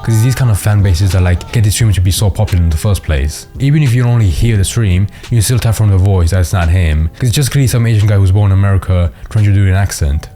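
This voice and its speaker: Low voice dude talking fast over music, says like a lot. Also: male voice, warm tone. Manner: casual, filler "like" throughout. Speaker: commentary narrator. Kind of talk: casual commentary voice-over.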